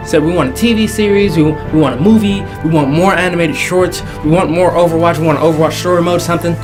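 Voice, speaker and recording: A man's voice: on the high side, fast talker. A man hosting a casual, jokey video countdown, speaking emphatically and repeating phrases for effect. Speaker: host of a video game movie countdown show. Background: faint background music.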